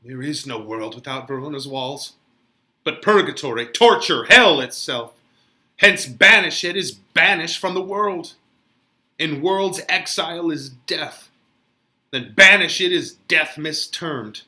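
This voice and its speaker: A man acts out a young banished lover from a Shakespeare play, frantic with despair. His voice is high and swings widely, with a sharp, forceful edge. He delivers it as anguished melodrama that breaks into shouting. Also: animated, slow, full character voice. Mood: horrified. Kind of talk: dramatic Shakespeare reading.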